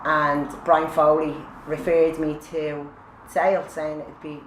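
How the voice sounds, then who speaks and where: A woman's voice: low voice, flat delivery, takes it slowly. A woman, a peer support trainee, plainly listing the courses she has done. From Ireland. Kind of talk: recorded testimony. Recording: echoey room with faint noise.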